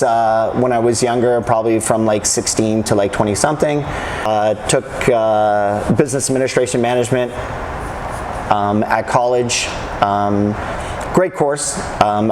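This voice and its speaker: A man's voice: mid-range voice, background noise. A young man from Canada, a business owner talking casually in an interview, hesitant with many restarts.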